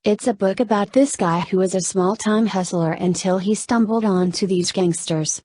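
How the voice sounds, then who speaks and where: Storybook nurse, played by a female reader: brisk, folksy, cautioning, slight Southern accent, mid pitch. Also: dark tone, lightly acted. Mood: urgent, warning. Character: motherly, brisk hospital nurse woman, secretive and cautioning.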